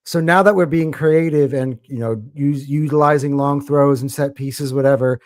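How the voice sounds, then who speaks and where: A man's voice: medium pitch, steady tone, normal speed, darkish tone, dry recording, clean studio sound. A man with an American accent co-hosting a casual sports podcast, talking animatedly and rambling with many fillers. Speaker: podcast co-host.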